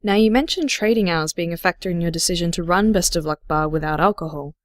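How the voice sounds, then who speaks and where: An actress playing a supportive, curious radio host in an audio drama, with a friendly, inquisitive manner. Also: female voice, lowish voice, fast, dry recording, clean studio sound, lightly acted. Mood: friendly interest.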